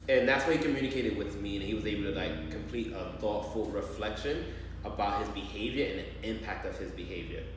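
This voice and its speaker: A man speaking as a school staff member on a call to a parent, explaining things in a measured way. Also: on the low side. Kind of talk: training role-play of a parent phone call. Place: large echoey room, far from mic. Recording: very echoey hall, distant mic, faint noise.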